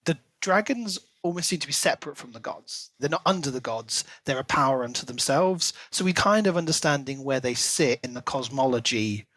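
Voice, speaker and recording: A man's voice: mid-range voice, expressive, fairly quick, hardly any pauses, fairly bright tone, dry recording. A man co-hosting a podcast, discussing a book in a casual, informal way. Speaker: podcast co-host.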